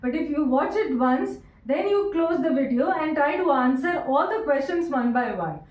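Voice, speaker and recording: High-pitched woman teaching grammar. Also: lively intonation, darkish tone. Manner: slow, repeats sentences word by word. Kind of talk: classroom grammar lesson. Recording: echoey room, voice from a distance.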